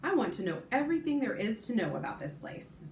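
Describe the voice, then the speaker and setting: A woman's voice: a little high, big pitch swings, fairly quick, darkish tone, roomy sound, a little hiss or hum, voice pushed up. A woman voicing an eager, curious young boy in a read-aloud storybook, asking earnest questions.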